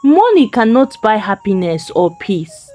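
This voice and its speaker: A woman's voice: mid-range voice, very animated, a little slow, warm tone, faint music behind. A woman storyteller voicing a worried, reproachful young village wife, earnest and admonishing, in a folktale. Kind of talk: storytelling character voice.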